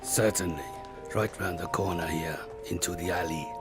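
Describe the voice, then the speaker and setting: A man's voice: deep voice, moderate pace, over music, close to an everyday voice. A man playing a cold, cruel radio-play thug. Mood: menacing. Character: cold, cruel male thug, formal and menacing. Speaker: villain's henchman. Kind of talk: amateur radio drama acting.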